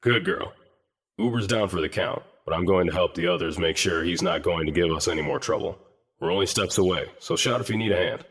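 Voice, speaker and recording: Very deep, husky male actor as calm fighter. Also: fairly quick, heavily acted. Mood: calm, instructive. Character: male teammate, calm, instructive, protective fighter. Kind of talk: audiobook character dialogue.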